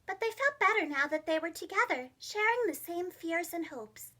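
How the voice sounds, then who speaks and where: Lady reading storytime to little kids, really high bright voice, super expressive. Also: normal speed. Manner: expressive, simple phrasing for young children. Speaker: preschool teacher and storyteller. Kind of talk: children's storybook narration.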